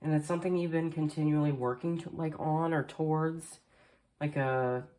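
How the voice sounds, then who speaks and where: Low-voiced chatty woman reading tarot. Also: steady tone, normal speed, mellow. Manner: chatty, rambling with many fillers, occasional coughs. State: slightly nasal and hoarse from allergies. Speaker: tarot reader. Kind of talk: casual tarot card reading. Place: indoors at a table at home. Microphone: camera facing the table, speaker mostly off screen.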